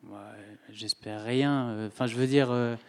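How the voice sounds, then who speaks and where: A man from Belgium, speaking as an author guest in a relaxed, wry way. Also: medium pitch, a little slow. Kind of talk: casual discussion at a public reading.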